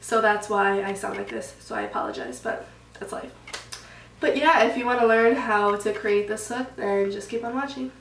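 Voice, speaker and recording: A woman's voice: mid-range voice, lively intonation, normal speed, fairly bright tone, far from the mic. A young woman with a cold and a sniffly, stuffed-up sound talks through a makeup tutorial in a loose, chatty way full of fillers. Her voice comes from some way off the mic in a roomy space. A faint hiss sits behind it. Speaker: beauty vlogger.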